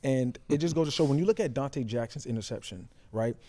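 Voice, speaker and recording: A man's voice: medium pitch, brisk, mellow. A man breaking down football plays as a talk show analyst, colloquial and explanatory. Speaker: sports talk show co-host, former player turned analyst.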